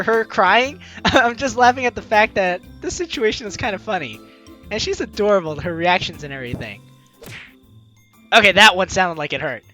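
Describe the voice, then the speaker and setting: Female playthrough host: playful, expressive read-aloud and commentary, animated pitch, bright tone, music under it. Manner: playful, giggly, expressive reading. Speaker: gaming video host, player reading aloud.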